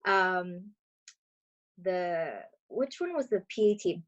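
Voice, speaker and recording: A woman in her twenties, born in Brazil and raised in Florida, giving relaxed, chatty interview answers as a dental student over a video call. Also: on the low side, takes it slowly, highs cut off. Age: 20s. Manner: chatty, relaxed, many fillers.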